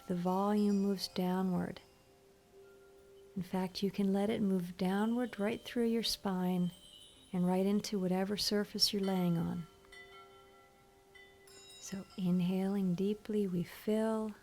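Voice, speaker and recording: A woman leading a guided meditation in a soothing, gentle, instructional manner. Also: medium pitch, steady tone, takes it slowly. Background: soft meditation music with chimes.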